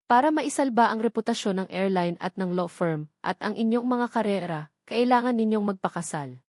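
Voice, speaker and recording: A woman voicing an authoritative male board chairman, formal and deliberate, with a Filipino accent, in an audio story. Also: medium pitch, animated, a little mellow, no echo, clean studio sound, close to an everyday voice. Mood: persuasive.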